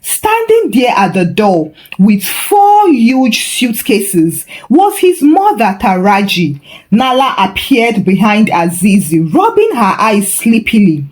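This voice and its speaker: A woman's voice: a little high, big pitch swings, slow pace, a little mellow. A woman storyteller reading a scripted folktale narration.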